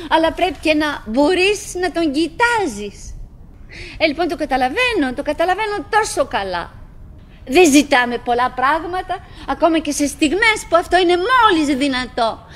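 An actress playing a chatty, wistful middle-aged wife in a theatrical radio drama, musing and rambling with dramatic pauses. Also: female voice, high voice, a bit bright. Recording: noisy old radio drama recording.

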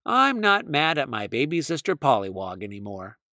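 Earnest storybook frog boy, voiced by a man, quick, gravelly edge. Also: medium pitch, big pitch swings, bright, forceful tone, no echo, clean studio sound. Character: sleepy young boy frog pupil.

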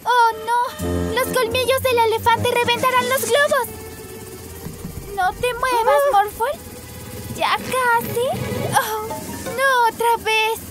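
A brave little cartoon girl with an extremely high voice. She gives exclamatory orders at a measured pace in a Latin American Spanish accent, caring but bossy, under loud music. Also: steady tone. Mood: urgent, determined. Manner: urgent, exclamatory, giving commands. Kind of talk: animated children's cartoon dub.

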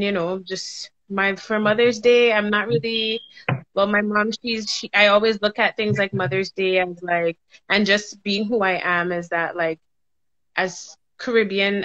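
A woman's voice: mid-range voice, fairly even intonation, measured pace, fairly bright tone, no echo. A young woman from Trinidad, a musician guest on a livestream, speaking thoughtfully from home on her phone with many hesitations. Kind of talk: casual interview. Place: indoors at home by a staircase. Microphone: phone at arm's length. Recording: dull lo-fi livestream audio.

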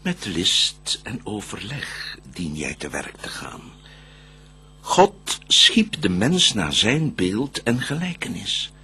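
An actor playing a calculating demon counsellor, speaking measured, sinister verse in a radio drama. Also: male voice, lowish voice, sing-song, bright, forceful tone, slightly rough, exaggerated voice. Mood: sly, commanding. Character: cunning male demon counsellor, spirit of darkness, calculating. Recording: noisy radio play recording with hum.

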